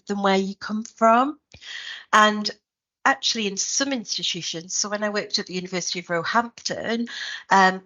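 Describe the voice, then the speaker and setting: Brief: middle-aged Geordie woman, academic leader, reflective and measured, slightly muffled recording. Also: mid-range voice, bright, forceful tone. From Newcastle, north of England. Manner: thoughtful, frequent ums and restarts. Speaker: head of a university school, guest. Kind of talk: reflective interview answers.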